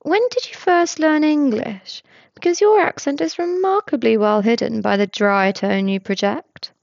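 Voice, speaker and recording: A nosy, chatty man with a raised, eager voice, prying with question after question, as a woman reader puts him on. The sound is somewhat gravelly and close, on a slightly dull recording. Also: fairly high pitch, normal speed, dry recording. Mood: curious, pleased.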